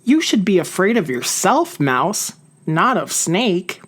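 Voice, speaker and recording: A woman's voice: fairly low pitch, sing-song, slow pace, heavily acted. A woman voicing a sensible, bossy but caring hedgehog in a storybook, emphatic and reassuring. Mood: insistent.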